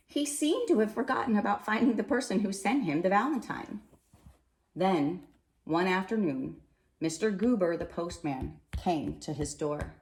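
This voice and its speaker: A woman reading a children's storybook aloud with expressive narration, recorded in an echoey room. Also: mid-range voice. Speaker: storybook reader.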